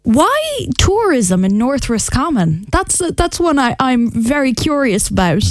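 Woman reporter asking stuff, bit higher voice, bouncy, soft music under. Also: measured pace, dry recording, studio quality. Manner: curious, animated questions. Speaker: radio reporter. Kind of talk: radio interview.

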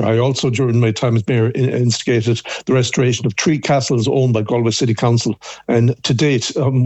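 A mature man, a native Irish speaker with a west of Ireland accent, answering a radio interview haltingly as a local councillor on a phone line. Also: medium pitch, darkish tone, no echo. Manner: halting, repeats words.

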